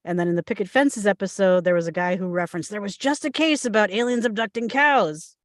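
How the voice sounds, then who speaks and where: A woman's voice: mid-range voice, fast talker, no room sound, studio quality. A woman in her forties chatting casually and telling stories in a group conversation. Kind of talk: casual group chat about television.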